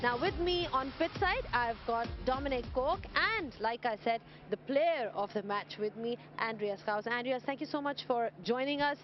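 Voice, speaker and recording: A woman presenting a pitch-side cricket interview, asking long, measured questions. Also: soft background music. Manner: measured, scripted-sounding, drawn-out questions. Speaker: sports presenter. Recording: slightly dull outdoor broadcast audio.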